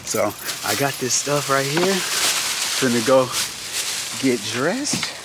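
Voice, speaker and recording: A man's voice: medium pitch, lively intonation, slow, heavy background noise. A young man vlogging to a handheld camera, talking slangy and profane as he eagerly plots a prank. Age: young adult. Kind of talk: casual vlog to camera. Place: outdoors by a parking lot, then indoors. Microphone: handheld camera at arm's length.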